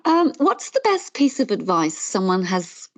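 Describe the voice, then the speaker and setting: Woman interviewer, friendly, deliberate pace, dry recording. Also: mid-range voice, sing-song, no room sound. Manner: friendly, slow and deliberate, light laughs. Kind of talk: scripted interview questions.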